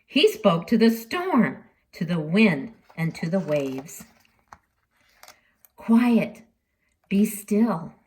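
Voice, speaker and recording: A woman storyteller reading expressively to children, dramatic in delivery, with deliberate pauses and emphasis. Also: fairly low pitch, huge pitch swings.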